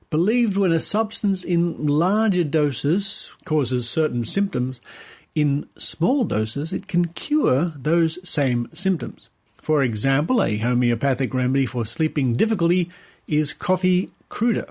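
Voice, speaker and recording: A man presenting a podcast, reading an article aloud in a measured, deliberate way with stressed key words. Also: on the high side, darkish tone, slightly rough.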